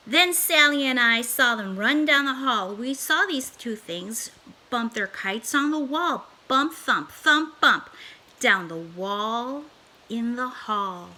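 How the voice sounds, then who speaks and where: A woman reading a children's storybook aloud in a theatrical, emphatic, expressive way. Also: on the high side, takes it slowly, rough recording. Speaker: storybook reader.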